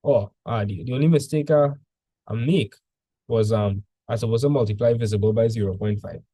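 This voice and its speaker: A man's voice: fairly low pitch, a little fast, dark tone, breathy edge, no echo. A male teacher tutoring students in a casual session, full of "like" fillers, quizzing them with questions as he goes.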